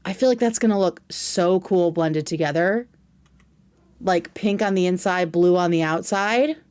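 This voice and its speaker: A woman's voice: fairly low pitch, measured pace, darkish tone, clear, resonant tone. A woman hosting a casual livestream, giving effusive, slangy commentary peppered with swearing. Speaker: livestream host reacting to a video.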